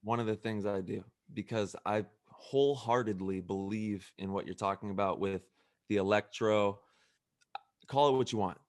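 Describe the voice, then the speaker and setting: A man's voice: on the low side, fairly even intonation, moderate pace, no echo, studio quality. A man hosting a podcast interview, asking earnest, leading questions in a casual tone. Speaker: podcast host.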